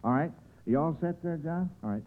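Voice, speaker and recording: A man's voice: a little high, animated, fairly quick. A man with an American accent hosting a 1940s radio quiz show in a jovial, folksy, bumbling way. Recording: scratchy old radio broadcast, narrow phone-like sound.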